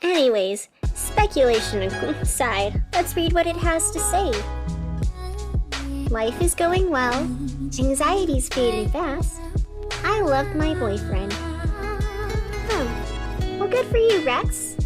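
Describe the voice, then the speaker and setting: Gentle female radio host played by a woman for fiction podcast: high voice, unhurried, reflective storytelling over clear music. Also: sing-song, full character voice. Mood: chatty, wry. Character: gentle, musing young female radio host of a strange city.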